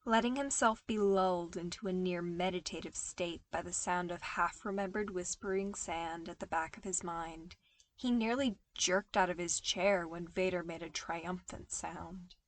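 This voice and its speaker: A woman reading scripted fiction aloud as an expressive audiobook-style narrator. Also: on the low side, normal speed. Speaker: podfic narrator.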